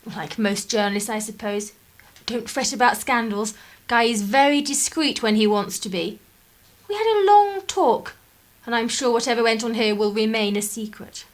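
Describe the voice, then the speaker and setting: An actress playing a defiant, idealistic eighteen-year-old English daughter in a radio drama, assertive and sardonic. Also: female voice, fairly high pitch, moderate pace, close to an everyday voice. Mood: frank, assured. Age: young adult.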